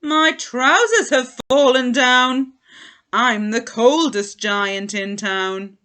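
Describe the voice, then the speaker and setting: A woman's voice: fairly high pitch, slow, sharp tone, voice pushed up. A woman voicing a kind, cheerful male giant in a storybook, with a hearty, sing-song manner. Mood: dismayed.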